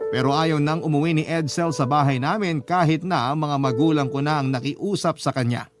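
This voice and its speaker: Higher-voiced male storyteller over music. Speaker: radio drama narrator reading a letter-sender's story. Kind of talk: scripted first-person story narration. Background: background music.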